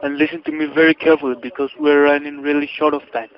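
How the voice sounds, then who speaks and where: A man's voice: fairly high pitch, fairly even intonation, quick pace. A man reading a stern, officious phone script in stilted phrasing, as a call-centre agent. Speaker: scam caller posing as tax agent. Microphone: telephone line. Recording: dull, lo-fi phone call recording.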